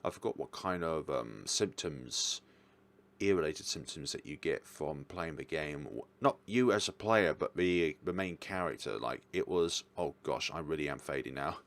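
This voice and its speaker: A man's voice: very deep voice, expressive, moderate pace, creaky. A man hosting a casual, chatty ranking of games, rambling and correcting himself as he goes, with an enthusiastic, hesitant manner. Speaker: host reviewing visual novels.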